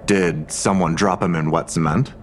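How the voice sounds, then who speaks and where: An actor playing a sardonic, probing investigator with a dry, amused manner in an audio drama. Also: male voice, low-pitched, steady tone, moderate pace, a little hiss or hum, lightly acted. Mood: dry curiosity. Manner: dry, sarcastic.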